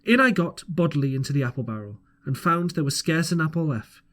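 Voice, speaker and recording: A man's voice: fairly high pitch, expressive, brisk, a bit bright. A man narrating an audiobook as an amateur reader, earnest, with the odd stumble over the text. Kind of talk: scripted storybook narration.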